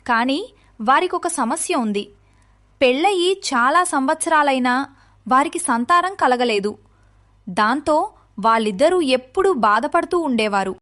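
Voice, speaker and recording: A woman's voice: sits high, fairly bright tone. A woman narrating a scripted moral story for children.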